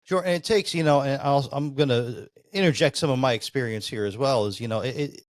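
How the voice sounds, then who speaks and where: A male podcast host asks long, meandering questions and leans heavily on fillers. His voice sits at a medium pitch and is recorded dry and close, with no room echo. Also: brisk. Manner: rambling, with many "you know" fillers. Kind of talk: podcast interview.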